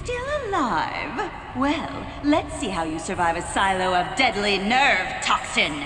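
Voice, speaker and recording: A woman's voice: mid-range voice, big pitch swings, unhurried, fairly bright tone, a little hiss or hum. A woman voicing a cruel, mocking warrior leader in a video game, with a theatrical, menacing way of taunting. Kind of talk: video game cutscene acting.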